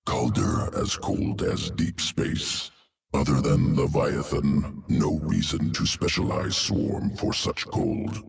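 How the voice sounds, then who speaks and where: Gravelly alien creature-shaper, very deep, clipped phrasing, slow delivery. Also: male voice, expressive, exaggerated voice. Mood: clinical. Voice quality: gravelly, clipped broken speech. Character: male alien creature-shaping scientist, clipped and cold.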